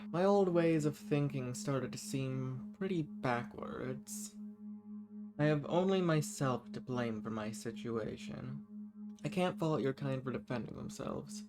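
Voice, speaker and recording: Over clear music, a male actor voices an ancient magical villain, a banished man speaking at a middling pitch. He is world-weary and dangerous, yet teasing and flirty, musing formally as if to one listener in an intimate audio roleplay. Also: natural voice.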